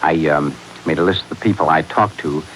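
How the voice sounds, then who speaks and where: Fussy gangster underling, low voice, hesitant, vain, hissy vintage radio recording. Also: male voice, brisk, fairly bright tone, rough recording. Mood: fussy, uneasy. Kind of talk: 1940s radio crime acting.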